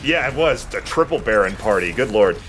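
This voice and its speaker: A man's voice: on the low side, animated, a little fast, fairly bright tone, slight background noise. A man giving casual, joking gaming commentary and banter as he plays, with some swearing. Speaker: let's play host playing the game. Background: game music.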